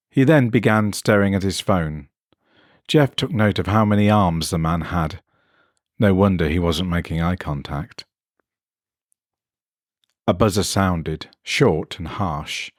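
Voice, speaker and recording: A man's voice: low-pitched, no echo, clean studio sound. A man reading a weird short story as scripted dramatic narration. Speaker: story reader.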